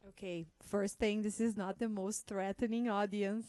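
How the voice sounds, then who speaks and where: A woman's voice: mid-range voice, level tone, normal speed, mellow. A woman from Brazil introducing herself informally and jokingly as an audience volunteer.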